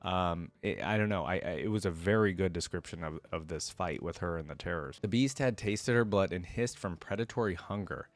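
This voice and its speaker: A man's voice: low-pitched, brisk, few pauses. A man hosting a reaction video, reading a fantasy story aloud and adding casual fan commentary.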